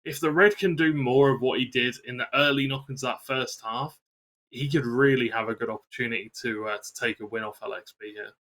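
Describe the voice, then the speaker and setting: A man's voice: medium pitch, steady tone, brisk, a bit bright. A man working as an esports co-commentator, analysing football video game matches during live commentary.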